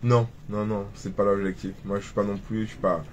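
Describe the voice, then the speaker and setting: A man's voice: lowish voice, flat delivery, brisk, slight background noise. A man in his twenties from Cameroon, a rapper talking about his start in music in a casual interview, rambling with many restarts and fillers. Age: 20s. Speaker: rapper guest.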